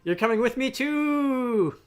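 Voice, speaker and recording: Man attempting a creepy, drawn-out ghost voice. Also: very high voice, animated, clean tone, voice pushed up, full character voice. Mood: spooky, menacing. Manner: drawn-out, threatening. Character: creepy menacing ghost voice from a sea tale.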